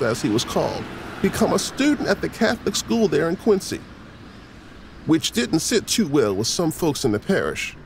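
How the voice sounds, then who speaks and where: A man's voice: high-pitched, creaky, a little hiss or hum, exaggerated voice. An actor playing a friendly man who tells history to children in a folksy, engaging way in an audio drama. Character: friendly male teacher telling children saintly history.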